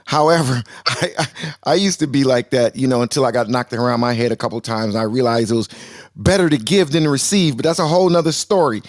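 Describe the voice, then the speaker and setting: A man's voice: mid-range voice, fast, no echo, studio quality. A man hosting a casual live call-in discussion, playful and self-mocking, laughing easily as he passes the mic to callers. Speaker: host. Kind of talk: casual live audio-room chat.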